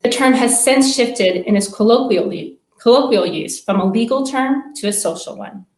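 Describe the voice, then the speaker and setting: A woman moderating a panel over a video call, leading the talk in a measured, clear way. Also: a little high, a little mellow. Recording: echoey room sound.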